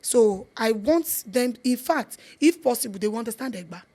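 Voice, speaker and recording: A woman's voice: on the high side, animated, moderate pace, mellow. A middle-aged Nigerian woman with a Yoruba accent, chatting earnestly as an interview guest, repeating her points for emphasis. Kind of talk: casual interview chat.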